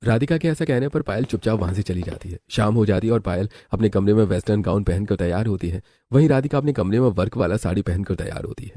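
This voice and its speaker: Mellow, quick-talking male storyteller. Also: lowish voice, no room sound. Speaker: story narrator. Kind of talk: scripted storybook narration.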